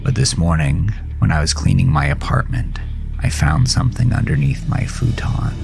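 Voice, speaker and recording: Male actor, low register, as a haunted, regretful horror narrator; intense, deliberate, with clear music bed. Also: sing-song, heavily acted. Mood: somber, haunted. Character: haunted, regretful man recounting his fight with a monster. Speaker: narrator and host of a horror audio drama. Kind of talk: dramatic first-person horror narration.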